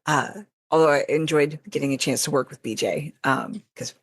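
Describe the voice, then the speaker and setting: A woman with a low-pitched voice speaks as a podcast guest. She chats in a casual, reflective way, and the recording is dry with almost no room sound. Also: normal speed, breathy edge, no room sound.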